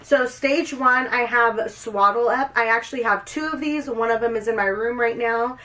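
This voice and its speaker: A young woman with a slightly high voice talks casually to camera at home, chatty and upbeat. She has a cold, so she sounds a little stuffy and nasal. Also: moderate pace, sharp tone, rough recording. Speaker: vlogger. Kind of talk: casual product walkthrough.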